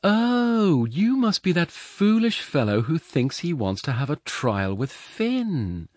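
A man voicing a calm, sly older foster mother in a storybook, chatting with unruffled mock innocence. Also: fairly high pitch, slow pace, dry recording, clean studio sound, voice pushed up. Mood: mock innocent.

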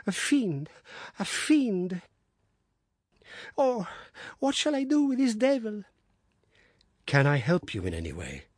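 A man's voice: low voice, very animated, fairly quick, hardly any pauses, husky, no room sound, clean studio sound, pitched below the performer's own voice, exaggerated voice. A man voicing a feverish, delirious wife who cries out frantically in terror and despair.

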